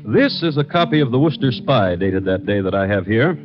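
A man narrating a radio story in a wry manner, with dramatic pauses. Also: on the low side, sing-song, soft background music. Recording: dull, lo-fi old radio recording.

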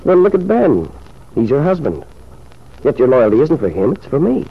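A man's voice: medium pitch, wildly swooping pitch, moderate pace, creaky, husky, heavily acted. An actor playing an ardent, reassuring lover of a married woman in an old radio soap opera, suave and earnest. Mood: persuasive. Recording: muffled old radio recording.

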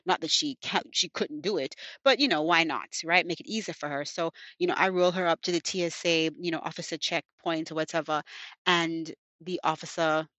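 A woman's voice: sits low, fairly even intonation, a little fast, fairly bright tone, dry recording, highs cut off. A young woman hosting a faith podcast. She prays fervently, then chats casually and tells personal stories with many fillers. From United States, partly raised in Jamaica. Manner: fervent, repeats thanks and "God" often; chatty, many "you know" fillers. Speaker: podcast host. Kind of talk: prayer and personal storytelling.

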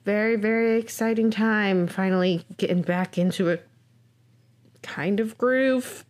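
A woman's voice: mid-range voice, measured pace, darkish tone. A young adult woman co-hosting a podcast in casual chat, upbeat and teasing, laughing easily. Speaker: podcast co-host.

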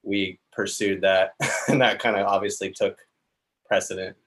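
An easygoing man speaks casually in a band interview, in a voice a bit low for a man. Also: level tone, unhurried, fairly bright tone. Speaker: band drummer guest.

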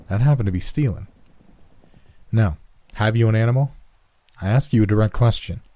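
A man performs a stern father from a storybook with a mellow voice. He is patient yet probing, putting each firm question deliberately, recorded close and dry. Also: lowish voice, no room sound, natural voice.

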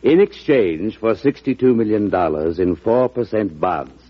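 An actor playing an assured, hard-bargaining banker who speaks precisely and formally in an old radio drama. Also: male voice, lowish voice, expressive, unhurried, husky. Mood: grave, firm. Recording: muffled vintage radio recording.

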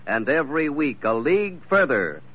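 A man's voice: mid-range voice, very animated, normal speed, background noise. A man announcing a radio adventure serial in a grand, dramatic, scripted 1940s style. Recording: dull old radio, loud music behind.

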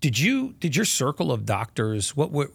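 A man hosting a podcast interview, casual and energetic, pressing home facts with emphasis. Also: medium pitch, moderate pace, vocal fry, husky, dry recording. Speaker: podcast host. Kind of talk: conversational interview.

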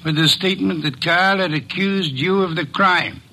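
A man playing a shrewd, precise middle-aged detective in a 1940s radio drama, measured and a little pompous. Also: high-pitched, bright, forceful tone, full character voice, poor audio.

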